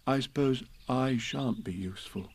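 A man voicing a humble, simple-minded bear from a storybook, speaking in a plodding, earnest, husky way. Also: medium pitch, slight background noise, natural voice. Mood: sad. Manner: slow, plodding, mournful and earnest.

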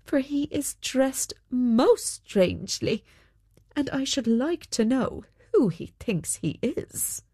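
A woman's voice: high-pitched, very animated, slow, mellow, husky, dry recording, clean studio sound, pitched above the performer's own voice, exaggerated voice. A woman voicing a pompous but obliging storybook king with a wordy, stately manner. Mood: puzzled, stately. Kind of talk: storybook character voice.

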